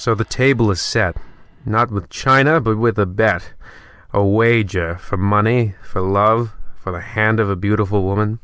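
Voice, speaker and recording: Man playing a deep, sinister radio horror host. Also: moderate pace, a bit bright, smooth, dry recording, natural voice. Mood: ominous relish. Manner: theatrical, ornate, ominous. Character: creepy, gleefully macabre horror-show narrator, theatrical and sinister. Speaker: narrator and host of a radio play. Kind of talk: old-time radio horror parody narration.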